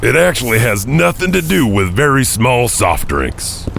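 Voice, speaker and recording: A man's voice: medium pitch, big pitch swings, measured pace, vocal fry, a bit bright, faint music behind, heavily acted. A man playing a folksy, goofy janitor who hosts a storytelling podcast, joking and rambling as he goes. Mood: chatty, jovial. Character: folksy, goofy male janitor telling strange tales. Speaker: host. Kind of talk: in-character podcast monologue.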